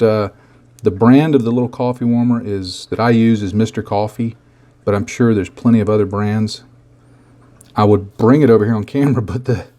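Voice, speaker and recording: A man with a bad cold and a rough, hoarse voice, casually walking through a model painting tutorial with many small restarts. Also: on the low side, mellow. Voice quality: rough, gravelly. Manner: rambling, self-deprecating, many restarts.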